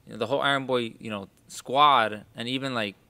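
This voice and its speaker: A man's voice: mid-range voice, fairly even intonation, a little fast, pushed and bright. A man interviewing a boxer in a chatty, enthusiastic manner, laughing often. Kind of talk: casual interview chat.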